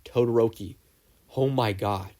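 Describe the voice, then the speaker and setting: A man voicing an earnest, fondly exasperated teenage boy student in a story, in an animated manner. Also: on the low side, measured pace, lightly acted.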